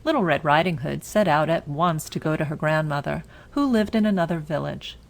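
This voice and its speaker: A woman narrating a scripted bedtime story for children in a measured, deliberate manner. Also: deep voice, creaky.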